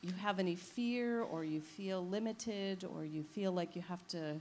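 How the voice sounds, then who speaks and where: A woman's voice: on the low side, measured pace, a little mellow, a little hiss or hum. A woman from the United States, an audience member at a live event, earnestly asking a slightly hesitant question. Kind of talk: question from the floor.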